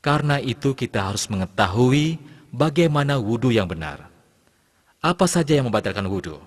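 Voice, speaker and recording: A man plays a patient male teacher of religion in an educational cartoon. He speaks at a medium pitch in a measured, instructive manner. A long hall-like reverb trails the voice. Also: big pitch swings. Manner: instructive, measured address to pupils. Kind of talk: scripted cartoon lesson.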